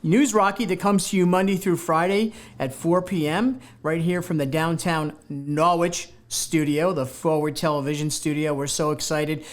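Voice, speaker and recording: A male talk show host with a slightly high voice, speaking in a TV studio. He sounds eager and rambles, circling back and stumbling over his words. He keeps the show moving with excited introductions. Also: normal speed, few pauses. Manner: enthusiastic, rambling, many fillers and restarts. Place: local TV studio.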